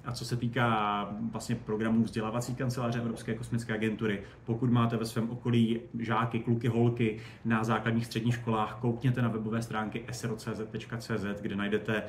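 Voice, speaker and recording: A man's voice: on the low side, level tone, a little hiss or hum. A man giving conversational, enthusiastic and discursive answers as a guest expert on spaceflight.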